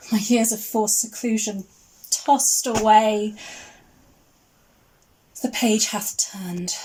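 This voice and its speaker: A woman plays a regal ice queen, proud and alone. She speaks her verse slowly and solemnly, with a level, contained melody and a mid-range voice, as in a stage monologue. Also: mid-range voice, a little mellow, low-quality recording. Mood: defiant, regal. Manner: measured, solemn, declamatory.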